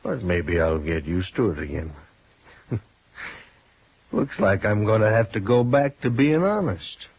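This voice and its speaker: A wounded card-sharp from a western radio play, rueful and a little drunk. His voice is husky and he takes his time over each halting phrase. The recording is dry and muffled, like old radio. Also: lowish voice, lively intonation, no room sound, exaggerated voice. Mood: wry, relieved. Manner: slow, halting, rueful chuckling. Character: wounded gambler gunslinger, drunk, bitterly relieved. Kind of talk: 1950s western radio acting.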